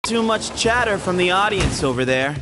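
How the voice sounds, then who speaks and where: An actor playing a confident young temple duelist in a video game, speaking with cool self-assurance. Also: male voice, sits high, expressive, moderate pace, music in the background. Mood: cool, challenging.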